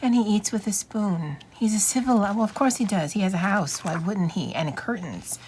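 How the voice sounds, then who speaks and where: A woman's voice: mid-range voice, moderate pace, poor audio. A woman chatting casually as she comments on a craft flip-through, musing informally with wry asides. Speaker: craft channel host. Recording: clear steady background noise.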